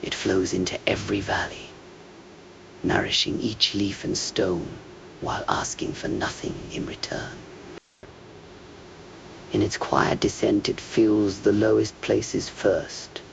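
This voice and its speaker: A man delivering a scripted meditative monologue in a measured, contemplative way, with hushed phrase endings that trail toward a whisper. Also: fairly low pitch, little pitch movement, creaky, slight background noise, low-quality recording.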